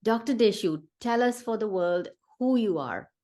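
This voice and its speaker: A woman's voice: a little high, expressive, moderate pace, dark tone. A woman hosting an interview, introducing her guest and asking brief, friendly questions.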